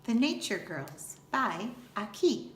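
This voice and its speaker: A woman in her fifties, a teacher reading a children's picture book aloud in an expressive, deliberate way. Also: mid-range voice. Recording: roomy echo, faint background noise.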